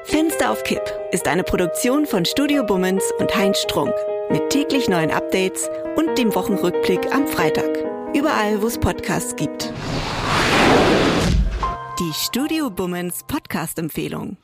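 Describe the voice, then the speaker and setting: A woman's voice: mid-range voice, very animated, measured pace. A woman reading a scripted podcast promo announcement. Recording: loud music behind the voice. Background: music.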